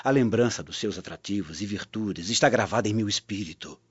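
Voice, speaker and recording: An actor playing an ardent, insistent Roman prefect declaring himself floridly in a radio drama. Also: male voice, on the low side, brisk, breathy edge, no echo. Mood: ardent, desperate. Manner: florid, impassioned. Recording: dry, dull lo-fi audio.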